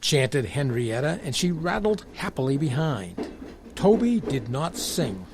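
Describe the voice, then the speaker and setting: A man's voice: mid-range voice, big pitch swings, a little slow, background noise. A man reading a scripted children's story as the narrator.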